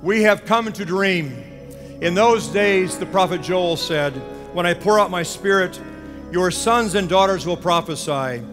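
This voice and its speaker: A man's voice: high-pitched, unhurried. A middle-aged man giving a formal speech from a conference podium. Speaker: conference leader speaking from podium. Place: large conference hall stage at a podium. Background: music.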